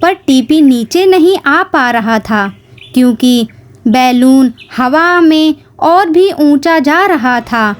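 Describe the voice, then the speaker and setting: A woman's voice: high voice, lively intonation, slow. A woman narrating a scripted children's story.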